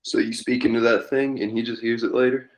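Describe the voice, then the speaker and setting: A man playing a halting, scheming army captain of the old West in a table read of a western. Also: medium pitch, little pitch movement, lightly acted, low-quality recording. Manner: halting, repeats words.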